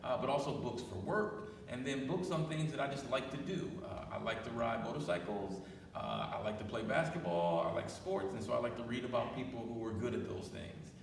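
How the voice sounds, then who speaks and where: A man reading a picture book aloud at a library storytime, pausing for chatty asides to his listeners. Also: medium pitch, animated, big-room sound, slight background noise, poor audio. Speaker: storytime reader.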